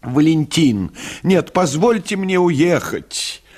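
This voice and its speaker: An overwrought lady playwright, performed by a man declaims her melodrama in a raised, high voice. The delivery is theatrical and relentless, swinging widely in pitch, as in a radio reading of a comic story. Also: animated, takes it slowly, a little mellow, slightly rough, heavily acted. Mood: melodramatic, anguished.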